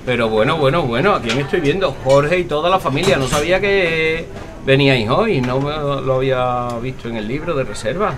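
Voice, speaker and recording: An actor playing a jovial, mocking restaurant host in an audio drama, full of teasing. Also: male voice, medium pitch, normal speed, lightly acted. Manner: jokey, mocking. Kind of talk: audio fiction acting. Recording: clear ambient noise behind voice.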